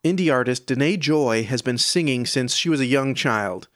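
A man's voice: medium pitch, moderate pace, fairly bright tone, no echo, clean studio sound. A man hosting an audio newsletter, reading scripted music news in a presenter's style. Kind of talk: scripted narration. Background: background music.

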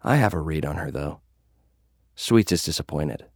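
Young gladiator influencer, low-pitched, dry studio sound, jaded, tough front, slangy, confessional audio drama narration. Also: male voice, animated, normal speed, dry recording. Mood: jaded, confiding.

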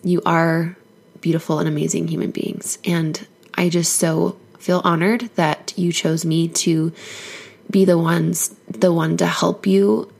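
A woman hoarse from a cold, giving an earnest, encouraging solo podcast talk as a life coach. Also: on the low side, flat delivery, measured pace, a little mellow.

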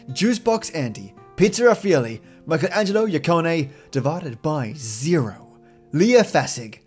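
A man hosting a podcast, speaking in a colloquial, effusive, matey way. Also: a little high. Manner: effusive, with slangy matey address. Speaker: podcast host. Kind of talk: friendly, colloquial podcast outro. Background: plucked guitar music.

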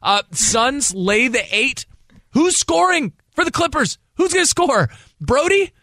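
A man's voice: extremely high pitch, very animated, a little slow, bright, forceful tone, no room sound. A man co-hosting sports talk radio, bantering casually with jokey asides and repeated phrases.

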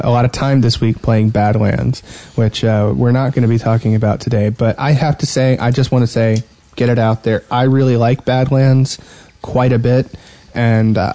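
A man's voice: mid-range voice, mellow, dry recording. A man co-hosting a casual gaming podcast, rambling hesitantly with a dry, teasing manner. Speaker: podcast co-host.

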